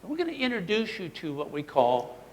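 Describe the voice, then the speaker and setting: A man leads a live workshop, talking with lively swings in pitch and acting out example voices as he teaches. His voice sits a little high for a man. It was recorded in a large hall with a long echo and a faint hum of room noise. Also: brisk. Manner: animated, demonstrative, models voices for the audience. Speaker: workshop presenter.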